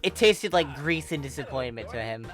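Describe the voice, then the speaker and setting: An expressive reader narrating a comedic fan fiction story in an informal, wry and dramatic way. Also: mid-range voice, moderate pace. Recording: background music under the voice. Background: video game style music.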